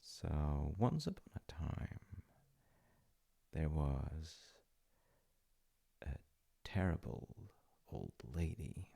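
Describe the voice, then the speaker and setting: A man playing a gentle, playful dad, telling a bedtime folk tale in a husky, gravelly voice with unhurried pauses and soft asides. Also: very deep voice, lively intonation. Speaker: audio roleplay voice actor playing a dad. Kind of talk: intimate comforting roleplay, improvised-sounding bedtime storytelling.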